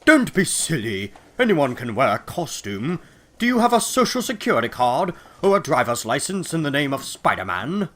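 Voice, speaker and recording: A man's voice: fairly high pitch, big pitch swings, moderate pace, a bit bright. A man playing a brusque, dismissive businessman in a comic-book reading.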